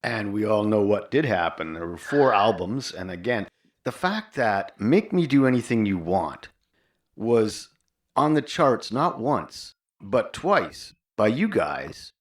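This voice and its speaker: A man's voice: lowish voice, very animated, slow. A man hosting a podcast and interviewing a guest conversationally.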